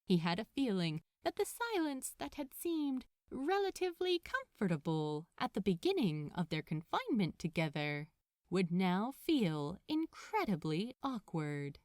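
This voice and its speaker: A woman reading fiction aloud as a narrator, in an expressive storytelling style. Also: medium pitch, unhurried, dry recording, clean studio sound. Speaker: podfic narrator.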